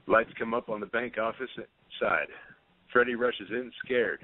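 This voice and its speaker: A man's voice: medium pitch, measured pace, no echo. A man reading stage directions as scripted narration for a play, with narrow phone-like sound.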